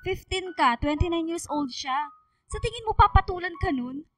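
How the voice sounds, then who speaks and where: A woman's voice: very high voice, animated, moderate pace. A woman playing a sassy, nosy teenage best friend with a Filipino accent, teasing and slangy in a radio drama. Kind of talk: radio drama acting, casual banter. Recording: distant microphone, music bed underneath.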